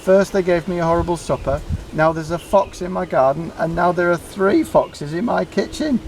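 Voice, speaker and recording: Man playing an indignant, high-pitched female cat. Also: normal speed, darkish tone, soft background music, rough recording. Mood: exasperated. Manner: exasperated, emphatic complaining. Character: indignant, put-upon female pet cat.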